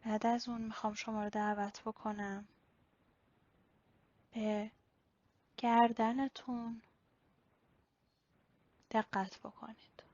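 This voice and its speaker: A woman leading a guided body-scan meditation in a slow, instructional way with pauses. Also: a little high, flat delivery, darkish tone, no room sound. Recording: slightly dull, lo-fi recording.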